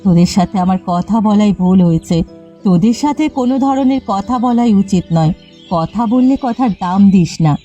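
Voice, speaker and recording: A mellow, dark-toned eldest sister from a village cartoon voiced by a woman. She is upright and stern, speaking like a weary elder who admonishes her siblings. Light music plays underneath. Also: medium pitch, lively intonation, normal speed, highs cut off, close to an everyday voice. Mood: exasperated. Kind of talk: cartoon dialogue. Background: faint music.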